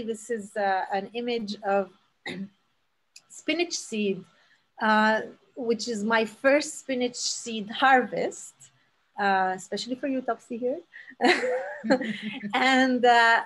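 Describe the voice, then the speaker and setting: A woman's voice: medium pitch, poor audio. Woman from Palestine in her thirties, earnest storyteller, speaking over a laptop mic on a video call. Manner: earnest, with many fillers and restarts. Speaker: seed saver giving a talk. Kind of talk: storytelling talk. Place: home room on a video call. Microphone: laptop webcam mic on a video call.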